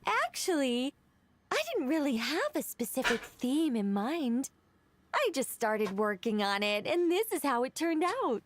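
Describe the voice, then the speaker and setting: A modest young lady from a video game. Her voice is high and her delivery leisurely, with polite, coy hesitations. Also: big pitch swings, a little slow, a little hiss or hum. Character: modest, gentle, unhurried young-looking lady sculptor.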